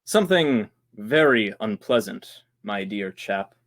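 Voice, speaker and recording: A man's voice: lowish voice, expressive, slow. A man playing a politely threatening older killer in an old-time radio drama, speaking formally and precisely. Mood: coolly menacing. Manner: formal, precise, quietly menacing.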